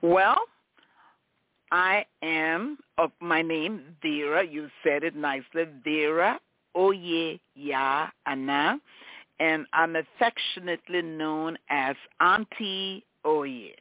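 A woman from Liberia with a Liberian accent, telling her story graciously and in a measured way as an interview guest. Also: low voice, no room sound. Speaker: podcast guest, nonprofit director. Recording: narrow phone-like band.

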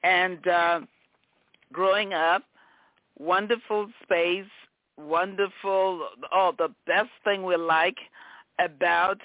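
A woman from Liberia with a Liberian accent, telling her story graciously and in a measured way as an interview guest. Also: on the low side, steady tone, no echo. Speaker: podcast guest, nonprofit director. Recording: narrow phone-like band.

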